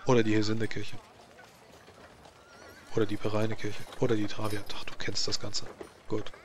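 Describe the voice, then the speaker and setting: A man's voice: fairly low pitch, monotone, normal speed. Man narrating a tabletop game, noisy background. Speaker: game master. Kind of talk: improvised tabletop roleplay narration.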